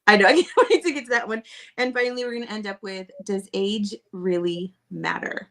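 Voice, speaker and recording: A woman's voice: medium pitch, brisk, a bit bright. A woman co-hosting a casual podcast chat, bubbly and teasing, laughing often. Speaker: podcast co-host.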